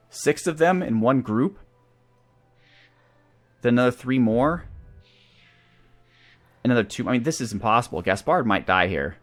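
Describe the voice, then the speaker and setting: A man hosting a gaming channel, talking through a strategy game and thinking aloud as he goes. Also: medium pitch. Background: video game music.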